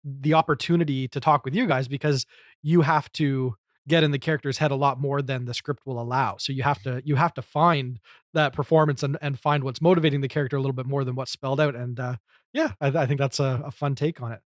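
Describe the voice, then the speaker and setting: A man's voice: mid-range voice, steady tone, fast, dry recording, studio quality. A man hosting a podcast in casual discussion, reflective and articulate, with an encouraging way of responding. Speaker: podcast host.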